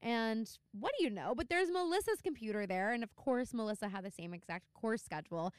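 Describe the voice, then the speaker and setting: Young woman, podcast co-host, high voice, smooth, animated, swears casually. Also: brisk, no room sound, clean studio sound. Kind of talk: casual chat recapping a TV episode.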